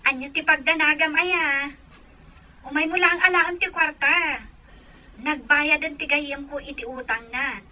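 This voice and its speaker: An actress playing a bossy but generous older sister in a radio drama, insistent and commanding. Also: female voice, high-pitched, steady tone, unhurried, pushed and bright, heavily acted, rough recording.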